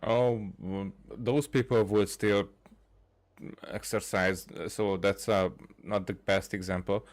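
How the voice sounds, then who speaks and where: A man's voice: lowish voice, steady tone, slow. A man giving casual, rambling gameplay commentary as a streamer, with a hesitant delivery. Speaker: streamer playing a game.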